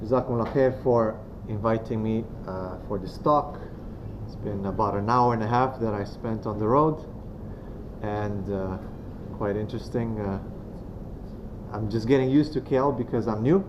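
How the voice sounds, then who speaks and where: Low-voiced man in a muffled, noisy recording. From Romania. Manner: soft-spoken, unhurried, many pauses and fillers. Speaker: guest speaker. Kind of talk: religious talk to students. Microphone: venue microphone. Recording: dull lo-fi sound with clear background noise.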